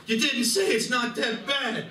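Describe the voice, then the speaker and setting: A man's voice: very high voice, animated, measured pace, pushed and bright, exaggerated voice. A man playing a sarcastic, exasperated furry alien con artist who complains in foul-mouthed style in a comedy radio play. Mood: panicked, exasperated. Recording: roomy distant convention recording, clear noise.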